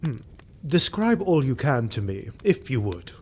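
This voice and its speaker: An actor playing a grim, vengeful Imperial officer in a fantasy audio drama, speaking solemnly and formally with deliberate emphasis. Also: male voice, mid-range voice, sing-song, a little mellow, a little hiss or hum. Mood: probing, measured. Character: grim, vengeful male Imperial army officer recounting war.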